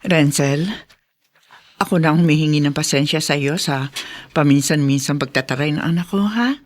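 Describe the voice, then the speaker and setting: A woman's voice: sits low, moderate pace, lightly acted. A Filipino woman acting in a radio drama as a gracious, kindly middle-aged mother running for mayor. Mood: apologetic.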